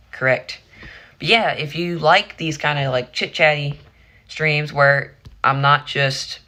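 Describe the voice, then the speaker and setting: A hoarse teenage boy chatting casually at the end of a livestream, rambling and restarting his phrases. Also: mid-range voice, pushed and bright. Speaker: streamer.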